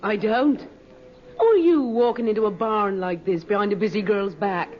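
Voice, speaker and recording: A sassy young countrywoman played by an actress talks bluntly in a medium-pitched voice. The sound is a muffled old radio drama with faint hiss. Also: normal speed, lightly acted. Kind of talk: old-time radio acting.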